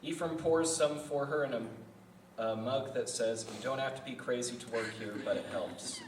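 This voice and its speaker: A man's voice: medium pitch, normal speed. A man reads scripted narration aloud at a table read. His mid-range voice carries from a distance in an echoey room with some background noise. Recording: echoey room from far off, noisy.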